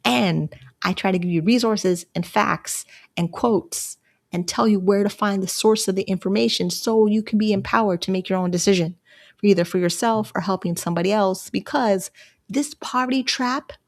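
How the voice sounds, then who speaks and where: A woman from Canada talking alone on her livestream, rambling and easygoing. She laughs often between thoughts, and her voice jumps higher when something excites her. She has a mid-range voice and sounds like she is in her thirties. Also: moderate pace, creaky. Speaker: livestream host. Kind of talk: casual solo livestream talk.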